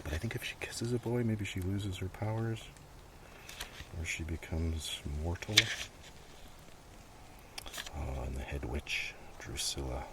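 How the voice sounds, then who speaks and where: A man speaking in a hushed ASMR style as he presents items to the viewer, often slipping into whispers. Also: deep voice, steady tone, a little slow, pauses often. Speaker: ASMR video host. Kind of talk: ASMR, soft-spoken show-and-tell with some read-out text. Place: outdoors. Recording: distant mic, loud outdoor background noise. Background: breeze and outdoor ambience.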